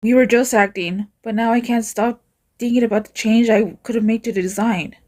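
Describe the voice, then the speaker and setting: A woman's voice: fairly high pitch, steady tone, brisk, darkish tone. A woman acting out scripted fantasy game dialogue as an amateur voice actor. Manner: acted, reading scripted lines.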